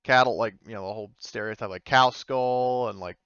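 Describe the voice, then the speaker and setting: A man acting as game master, describing scenes and rules casually in halting phrases. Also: mid-range voice, a bit bright, smooth, no room sound, lo-fi sound. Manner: hesitant, halting phrasing with frequent "like" and "you know". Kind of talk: improvised tabletop game narration with casual rules talk.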